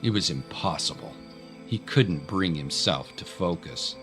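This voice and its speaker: A man with a low voice narrates an audio drama. His delivery is scripted, dramatic storytelling, and music plays clearly behind him. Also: lively intonation, unhurried.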